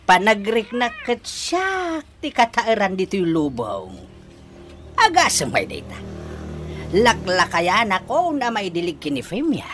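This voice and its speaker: A man's voice: high voice, wildly swooping pitch, takes it slowly, a bit bright, a little hiss or hum, exaggerated voice. A man playing a giddy, boastful, lovestruck old father in a radio comedy drama, with exaggerated, giggly delivery. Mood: smug, amused.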